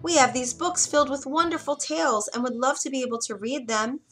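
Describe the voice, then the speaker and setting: Lady doing a little dwarf miner guy, friendly, loud, higher pitch, fairytale. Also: fairly quick, soft background music.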